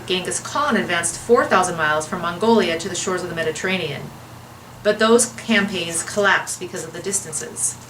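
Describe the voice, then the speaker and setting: A woman's voice: medium pitch, fairly bright tone, a little hiss or hum, poor audio. A woman reading aloud from a history book as a guest, delivering the written prose earnestly and formally. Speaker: guest reader.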